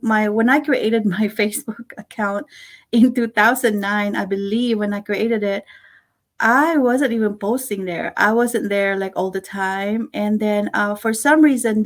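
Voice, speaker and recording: Middle-aged woman from the philippines chatting on a video call, warm, lots of ums and little laughs. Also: medium pitch. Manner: warm, chatty, many fillers and soft laughs. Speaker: guest. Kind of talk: casual interview chat.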